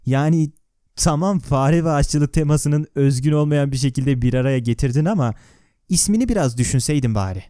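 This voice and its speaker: Male video narrator, mellow tone, dry close mic, conversational scripted delivery, sarcastic asides, viewer questions. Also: on the high side, moderate pace, smooth, no room sound. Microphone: close, dry voice-over microphone.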